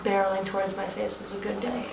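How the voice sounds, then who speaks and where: Haunted young female victim, played by a woman, hesitant and flat, in a noisy, roomy mock interview. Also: mid-range voice, gravelly, natural voice. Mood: subdued, halting. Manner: halting, hesitant. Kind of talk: student-acted mock interview testimony. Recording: echoey, distant, noisy lo-fi room recording.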